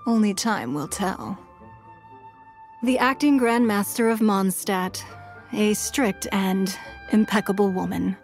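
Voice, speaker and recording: A woman's voice: medium pitch, slow, pauses often, darkish tone, faint music behind. An actress playing a poised, shrewd and wealthy woman leader in a video game, with a composed, refined and authoritative manner. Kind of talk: video game character voice lines.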